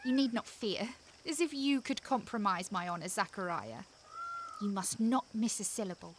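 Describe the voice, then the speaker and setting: A woman's voice: mid-range voice, expressive, moderate pace, a little hiss or hum, natural voice. A young actress in an audio drama playing an eager, innocent and imperious noblewoman, speaking in urgent commands and questions. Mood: urgent, demanding.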